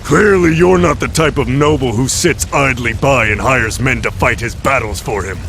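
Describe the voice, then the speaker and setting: A man playing a rough soldier for hire in a video game, with a gruff, casual manner. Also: mid-range voice, normal speed, a bit bright, a little hiss or hum, rough recording. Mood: amused. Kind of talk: dramatic video game acting.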